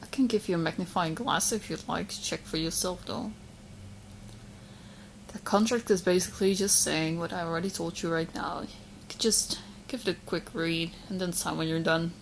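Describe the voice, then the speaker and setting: Casting: female performer as clingy, self-assured young witch for roleplay audio; slightly low voice, coy chatty delivery, faint ambient noise. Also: steady tone, moderate pace, gravelly, low-quality recording. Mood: sweet, coaxing. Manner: coy, smug, chatty, hesitant asides. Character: obsessive, smug young female witch in love. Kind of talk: roleplay audio drama, addressing the listener.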